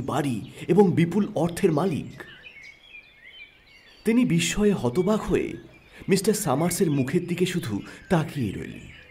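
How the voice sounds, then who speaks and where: A man narrating an audio story in an expressive, dramatic storytelling style. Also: fairly high pitch, husky. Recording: narration over background music.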